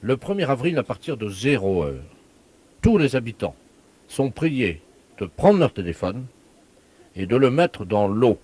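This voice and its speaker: A man playing an officious, deadpan village mayor who gives solemn, insistent warnings in a prank phone call. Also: mid-range voice, measured pace, pauses often, slightly rough.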